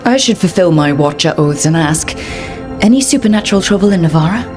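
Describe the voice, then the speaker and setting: A woman's voice: lowish voice, animated, brisk, natural voice. A woman voicing a wry, friendly young adventurer in a fantasy video game, in a composed conversational manner. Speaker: player character in a fantasy game. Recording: music behind the voice.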